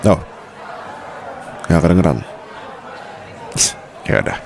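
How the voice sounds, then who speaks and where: A man's voice: low-pitched, slow pace, a little mellow, a faint crowd. A man playing a suave, protective mafia boss boyfriend in an intimate, teasing ASMR roleplay. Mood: teasing, calm.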